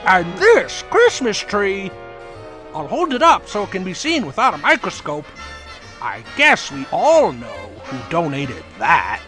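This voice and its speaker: A mocking, jolly male party speaker from a cartoon comic dub, acted by a man. He teases in slow, showy speeches with a high pitch, over clear music. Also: wildly swooping pitch, full character voice. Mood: taunting. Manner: speechifying, teasing. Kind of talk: comic book fan dub.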